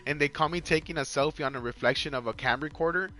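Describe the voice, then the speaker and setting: A man's voice: fairly high pitch, fast talker, bright, forceful tone. A young man with a Mexican accent, chatting casually to viewers during a live game stream, rambling and repeating himself, full of "like" and "you guys.". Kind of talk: casual live-stream chat while gaming. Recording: faint music underneath.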